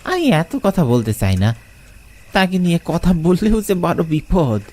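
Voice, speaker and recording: A man's voice: fairly high pitch, sing-song, unhurried, a little mellow. A man voicing a superstitious village rickshaw puller who speaks respectfully and pleads urgently. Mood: urgent warning.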